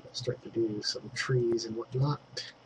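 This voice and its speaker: A man's voice: medium pitch, fairly even intonation, a little slow, a little hiss or hum, poor audio. A man with a congested throat giving a casual digital painting tutorial, thinking aloud as he works and dropping in fillers. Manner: chatty, thinks aloud while working, trailing fillers.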